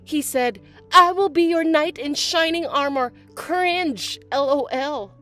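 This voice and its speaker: A woman voicing a bratty, spiteful young stepsister who talks in a shrill, mocking, bossy way. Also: slow, fairly bright tone, soft background music. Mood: gloating. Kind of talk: voiced text-message drama.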